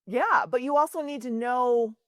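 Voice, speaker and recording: A woman's voice: on the high side, moderate pace, dry recording. Earnest woman podcast host, dry sound. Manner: earnest, emphatic, reflective. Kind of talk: conversational interview.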